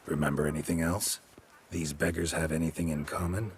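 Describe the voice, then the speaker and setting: A gruff, weary monster hunter with a very low voice. He talks in terse, deadpan lines, as in a fantasy game, over faint background ambience. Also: a little fast, slight background noise. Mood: probing. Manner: terse, deadpan, clipped sentences. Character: gruff, weary, laconic male monster-hunting swordsman. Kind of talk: video game voice acting.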